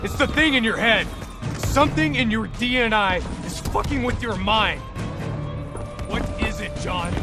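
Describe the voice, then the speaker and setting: An actor playing an angry, desperate soldier in a video game, forceful, confrontational and pleading. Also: male voice, sits high, unhurried. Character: angry, desperate male soldier, foul-mouthed, intense. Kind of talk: dramatic video game acting. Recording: loud cinematic music and action behind.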